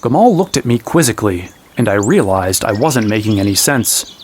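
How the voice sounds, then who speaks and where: A man's voice: lowish voice, big pitch swings, normal speed, a little mellow, slight background noise. An actor playing a young man who narrates his own fantasy adventure as scripted first-person storytelling. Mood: reflective.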